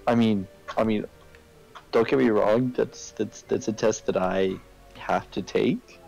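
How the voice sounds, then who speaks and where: A low-voiced man with a gravelly creak talks casually on a game stream. He is halting and repeats words as he goes, with faint game music behind him. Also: fairly even intonation, a little mellow, poor audio. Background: video game music.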